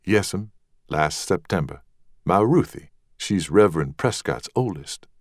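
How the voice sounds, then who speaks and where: A man's voice: low-pitched, lively intonation, unhurried, no echo, studio quality. A man voicing a solemn, guarded young working man in an audiobook, deferential and speaking Southern dialect.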